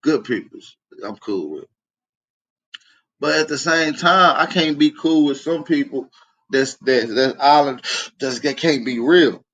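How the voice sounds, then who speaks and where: An American man with a fairly high voice. He comments in a casual, opinionated way. His delivery is blunt, slangy and confrontational, full of swearing. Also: measured pace, a bit bright, highs cut off. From United States. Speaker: reaction commentator.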